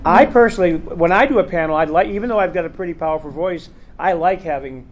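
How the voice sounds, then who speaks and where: A man's voice: high voice, quick pace. A man hosting a convention panel, talking casually and rambling with lots of fillers. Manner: rambling, chatty, full of fillers. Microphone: recorder in the room.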